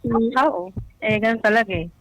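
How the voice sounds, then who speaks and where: A woman's voice: on the high side, normal speed, a crowd in the background, rough recording. A Filipino woman in her twenties talking casually and a little hesitantly as a caller on a radio show. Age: 20s. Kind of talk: casual, hesitant phone chat.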